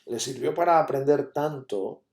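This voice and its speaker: A man's voice: medium pitch, normal speed, a little mellow. A man giving an academic conference talk, explaining steadily with many fillers and tag questions. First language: Catalan. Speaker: university lecturer. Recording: echoey room.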